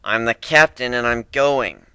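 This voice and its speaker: A brooding male griffin captain, played by a man in a fantasy story. He is stubborn and earnest, grumbling in a bright voice, recorded close and dry. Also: mid-range voice, measured pace, clean tone, no room sound.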